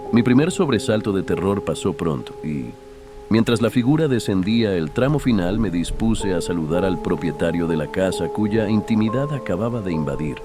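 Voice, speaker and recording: A man narrating a literary horror story in a solemn, measured manner. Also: low-pitched. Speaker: audiobook narrator.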